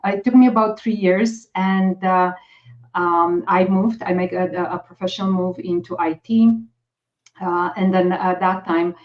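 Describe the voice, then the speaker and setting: A middle-aged woman with a Romanian accent, a guest coach talking casually and hesitantly, with many fillers and restarts. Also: lowish voice. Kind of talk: casual interview talk. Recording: dull lo-fi audio.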